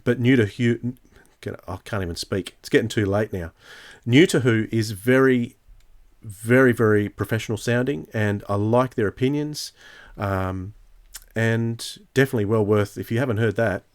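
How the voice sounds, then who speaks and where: Male co-host, slightly low-pitched, informal conversational style. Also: normal speed. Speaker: podcast co-host. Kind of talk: casual chat.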